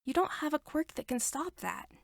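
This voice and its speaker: A woman voicing a gentle, worried young mother who soothes her hurt son softly, sometimes in a whisper. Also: high-pitched, big pitch swings, moderate pace, creaky, no room sound, studio quality, voice pushed up, exaggerated voice. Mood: tender.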